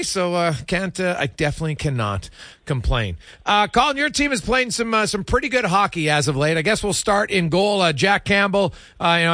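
A man's voice: a little high, sharp tone, no room sound, studio quality. A man hosting a casual sports radio interview, asking long, conversational questions.

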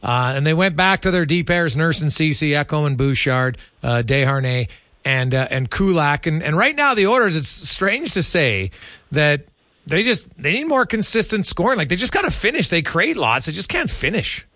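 A male sports talk host with a slightly high voice, recorded close and dry. He talks casually and conversationally, working through his points in a halting way. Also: animated, bright, forceful tone, dry recording.

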